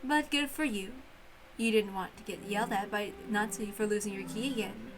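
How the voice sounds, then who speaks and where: A woman voicing a sly, lofty witch who speaks in a drawn-out, mocking, amused way, in a visual novel read-aloud. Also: mid-range voice, voice pushed down, lightly acted. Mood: teasing, sly.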